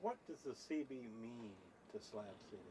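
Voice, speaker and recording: A man's voice: mid-range voice, big pitch swings, moderate pace, mellow. An American man asking questions in a casual documentary interview, informal and a little hesitant. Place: on location at a desert camp, ambient noise. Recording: noisy 1990 amateur videotape sound.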